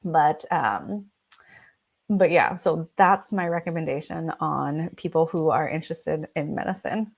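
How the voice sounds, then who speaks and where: A woman's voice: low-pitched, lively intonation, measured pace, gravelly, breathy edge. A young woman physician from the United States whose first language is Vietnamese, giving chatty, casual advice with lots of filler words. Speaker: physician, channel host. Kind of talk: casual advice talk to camera.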